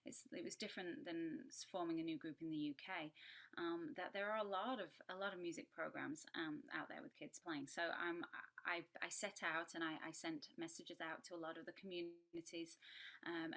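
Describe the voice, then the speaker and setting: Yorkshire lady, middle-aged, low but bright voice, chatty with lots of ums, on a call. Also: steady tone, normal speed. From Yorkshire, England. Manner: chatty, with frequent ums and restarts. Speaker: guest brass educator. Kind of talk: relaxed conversational self-introduction. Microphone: remote call.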